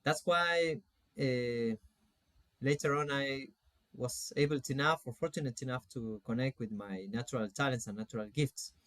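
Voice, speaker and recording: A man in his forties from Argentina with an Argentine Spanish accent gives an informal online lecture as a coach, phrasing things in a halting, deliberate way. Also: mid-range voice, fairly bright tone, dry recording. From Salta, Argentina. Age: 40s. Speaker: spiritual coach teaching an online course.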